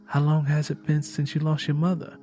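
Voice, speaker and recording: A man's voice: fairly high pitch, completely flat delivery, a little fast, warm tone, soft background music, close to an everyday voice. Man reading as an earnest Southern young woman. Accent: American South. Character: Lenny Gray, gentle, faithful pregnant Southern young woman.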